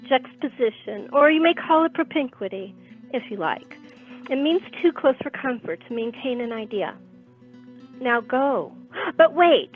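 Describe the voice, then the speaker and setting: A woman's voice: high voice, lively intonation, moderate pace. A woman playing a proud, wounded, genteel young lady in a radio play, with formal and precise wording. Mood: imperious. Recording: narrow phone-like sound, faint guitar music.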